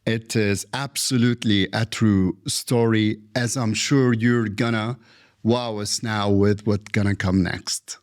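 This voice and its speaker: A man's voice: lowish voice, fairly even intonation. A man hosting a podcast interview, reading a formal introduction and asking questions. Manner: slow, deliberate delivery. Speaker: podcast host.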